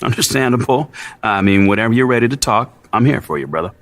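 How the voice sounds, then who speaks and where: A man's voice: medium pitch, expressive, fast, natural voice. A man playing a friendly but needling sports reporter in a video game cutscene, conversational and pointed. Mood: sympathetic.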